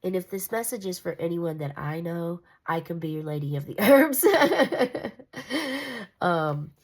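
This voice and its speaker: Congested low-voiced woman reading tarot. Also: lively intonation, a little slow. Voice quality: nasal. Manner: meandering, reassuring, with fillers and restarts. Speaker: tarot reader.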